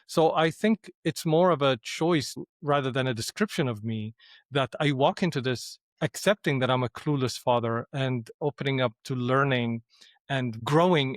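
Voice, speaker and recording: Middle-aged guy from syria, thinking out loud, lots of restarts, dry sound. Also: medium pitch, unhurried, dry recording. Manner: thoughtful, hesitant with restarts. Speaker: podcast guest. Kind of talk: reflective conversation.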